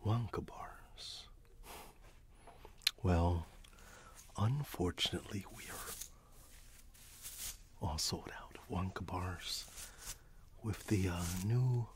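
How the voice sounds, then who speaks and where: A middle-aged man playing a friendly, wistful candy shop owner in a gentle, hesitant ASMR roleplay whisper. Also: low voice, a little hiss or hum.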